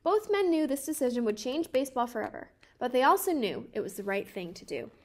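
A woman in her twenties reading a children's picture book aloud in a careful, measured way. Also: on the high side, big pitch swings. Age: 20s. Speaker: storybook reader.

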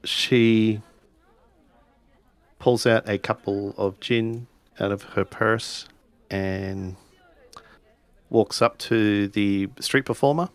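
A man's voice: fairly low pitch, steady tone, drawn-out words, long pauses, no echo. A man playing a tabletop roleplaying game, narrating his character's actions in the third person.

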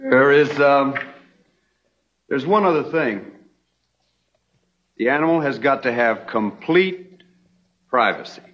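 A young actor playing a polite, smooth-talking stranger in an Old West TV drama, on a muffled vintage soundtrack. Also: male voice, mid-range voice, lively intonation, slow pace, long pauses, fairly bright tone. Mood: persuasive. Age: young adult.

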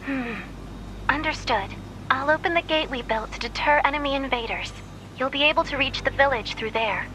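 A woman voicing a courteous, gentle male machine who leads a peaceful village in a video game. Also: sits high, normal speed, slight background noise, poor audio. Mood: courteous, measured. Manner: polite, formal, mild. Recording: loud music behind.

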